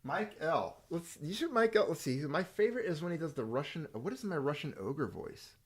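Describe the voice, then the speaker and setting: A man's voice: on the high side, lively intonation, normal speed, husky. A middle-aged man hosting a casual home chat, rambling and joking. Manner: chatty, rambling, jokey. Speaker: channel host.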